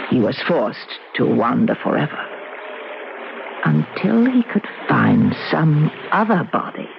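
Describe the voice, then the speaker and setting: A woman's voice: a bass voice, creaky, full character voice. An actress playing the mysterious, alluring ghost of a young woman in a radio drama, speaking solemnly and enigmatically. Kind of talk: old radio drama acting. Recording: muffled noisy old radio recording.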